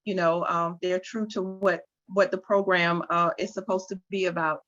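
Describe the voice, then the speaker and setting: A woman's voice: lowish voice, level tone, moderate pace, a bit bright, no room sound. A woman speaking candidly and steadily as a panelist in an online talk. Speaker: webinar panelist, program facilitator. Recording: dull lo-fi, dry sound.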